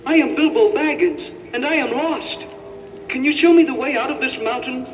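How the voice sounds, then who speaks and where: A man's voice: extremely high pitch, moderate pace, low-quality recording. A man voicing a small, anxious, grumbling but plucky male hobbit in an earnest, fretful way for a children's storybook record. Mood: nervous defiance.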